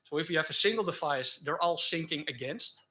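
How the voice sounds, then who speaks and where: A man giving a technical conference talk and answering questions, speaking haltingly with frequent self-corrections. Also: fairly high pitch, expressive, pushed and bright. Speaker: conference speaker presenting a project.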